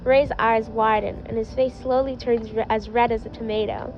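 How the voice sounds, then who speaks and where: A woman narrates a story in a fairly high voice. She reads from a script, with music playing behind her and a dull, lo-fi sound. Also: flat delivery, moderate pace, noisy background. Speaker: fan fiction reader. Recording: dull lo-fi audio.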